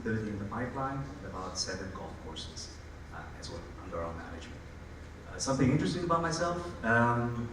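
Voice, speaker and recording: A man gives a panel answer in a hesitant way, often pausing on fillers. His voice is far from the mic. It sounds washed in the reverb of a large hall, with noise underneath. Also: medium pitch. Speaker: panellist, hotel development executive. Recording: echoey hall, distant mic, background noise.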